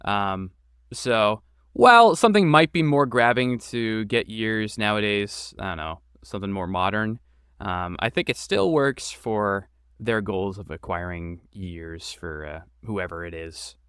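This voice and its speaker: A man who creates and voices games, answering interview questions in a thoughtful, relaxed way with many fillers. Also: lowish voice, measured pace, smooth, no room sound, studio quality. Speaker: game creator and voice actor. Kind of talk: podcast interview guest.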